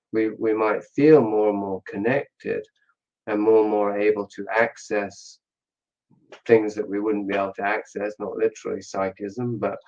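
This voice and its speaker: A man's voice: on the low side, darkish tone, muffled recording. A man giving a reflective explanation in a discussion, pensive and often searching for the right words. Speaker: interview guest, author.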